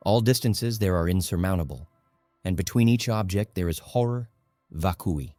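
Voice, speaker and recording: A man narrating a literary audiobook in a grave, measured, dramatic manner. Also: low-pitched, mellow, no echo. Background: faint music.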